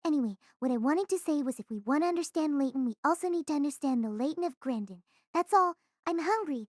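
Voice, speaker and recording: A woman's voice: high voice, animated, fast talker, darkish tone, dry recording, clean studio sound. A woman playing a chatty, blunt, curious schoolgirl in an audio drama, speaking frankly and insistently. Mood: brisk. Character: chatty, blunt, curious teenage girl student. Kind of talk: audio drama character dialogue.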